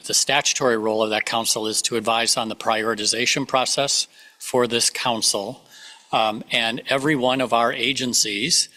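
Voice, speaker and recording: A man official, hoarse from a cold, explaining budget timelines to a council in a measured way with frequent restarts. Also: medium pitch, bright, forceful tone. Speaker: government official advising the council. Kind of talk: public meeting remarks. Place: meeting room.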